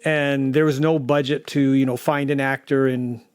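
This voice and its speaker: A man speaking unscripted in an interview as a drama director, matter-of-fact and deliberate. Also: medium pitch, flat delivery, darkish tone, clear, resonant tone.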